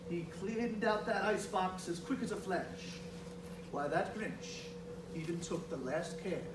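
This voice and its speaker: A man's voice: high-pitched, a little slow. A man reading a storybook aloud expressively, like a father at home. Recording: noisy home recording, distant mic, echoey room.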